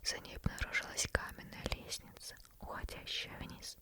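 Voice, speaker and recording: A woman whispering as a teasing female demon who reads a bedtime story, slow and intimate, close to the microphone. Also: lightly acted. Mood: hushed. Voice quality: soft unvoiced whisper throughout. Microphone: close sensitive ASMR microphone.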